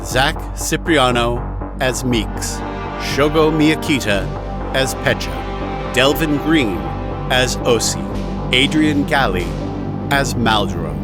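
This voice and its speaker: A man with a medium-pitched voice reads scripted credits as an announcer. He takes it slowly, over very prominent music.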